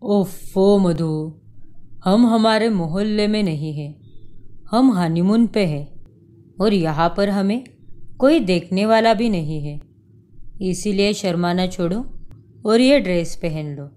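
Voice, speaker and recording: A woman's voice: medium pitch, slow, pauses often, warm tone, natural voice. A woman voicing a playful, romantic older husband in a cartoon story, coaxing his wife in a teasing way.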